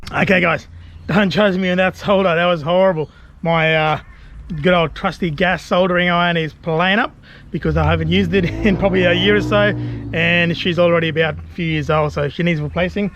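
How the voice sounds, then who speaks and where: Higher-pitched casual Aussie or Kiwi man. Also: steady tone, brisk, fairly bright tone. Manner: laid-back, colloquial, self-deprecating. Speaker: vlogger presenting a DIY car install. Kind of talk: casual hands-on tutorial chat to viewers.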